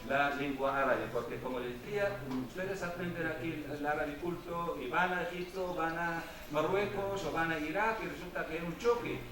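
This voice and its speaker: A man's voice: a little high, moderate pace, hardly any pauses, crowd noise. Man lecturing from far in echoey hall. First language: Arabic. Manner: hesitant, with many fillers and restarts. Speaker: lecturer on Arabic language. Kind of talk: university lecture.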